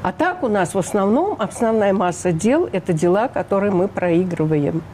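Older female guest, mellow timbre, indignant and emphatic. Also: mid-range voice, very animated, moderate pace, a little hiss or hum. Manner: emphatic, indignant, rhetorical questions. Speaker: interview guest, refugee rights advocate. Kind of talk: radio interview.

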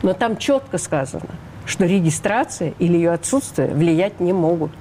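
A woman's voice: lowish voice, animated, normal speed, dark tone, a little hiss or hum. An older woman speaking as an interview guest, emphatic and indignant, often asking rhetorical questions. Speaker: interview guest, refugee rights advocate. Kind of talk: radio interview.

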